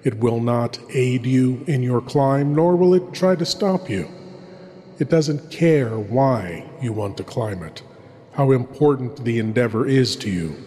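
A man with a mid-pitched voice plays the horror host, speaking very slowly and ominously. His theatrical narration pauses dramatically and rings in a long reverb. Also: warm tone. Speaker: horror host introducing old-time radio.

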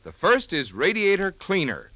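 Bright, animated male radio announcer. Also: high voice, moderate pace, no room sound, lo-fi sound. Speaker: commercial announcer. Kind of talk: scripted old-time radio advertisement.

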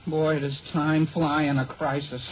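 A man's voice: on the high side, flat delivery, a little slow, lightly acted. An actor playing an anxious, scheming teenage boy with a cracking voice and flustered, wheedling manner in a 1940s radio sitcom. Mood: nervously evasive. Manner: flustered, wheedling, exaggerated swoops. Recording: noisy, muffled 1940s radio recording.

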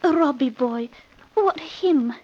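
Dreamy nervous lass, really high sing-song voice, muffled old radio. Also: female voice, lively intonation, slow, dark tone. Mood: worried. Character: lovestruck, anxious young Scottish maid. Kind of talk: 1950s radio acting. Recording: muffled vintage radio recording.

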